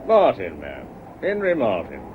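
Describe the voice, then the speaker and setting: A man's voice: sits low, huge pitch swings, measured pace, heavily acted. An actor playing a polite, persistent, unruffled man calling at a house, in a period radio mystery. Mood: polite, insistent. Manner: courteous, dryly firm. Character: polite, persistent, unruffled male evening caller. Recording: noisy, dull old radio recording.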